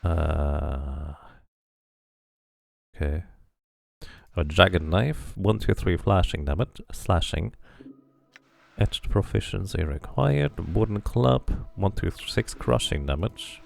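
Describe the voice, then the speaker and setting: A man's voice: low voice, lively intonation, takes it slowly, creaky, long pauses, no echo, studio quality. A man giving casual game commentary, thinking aloud and reading bits of game text out as he plays. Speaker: game streamer doing a playthrough.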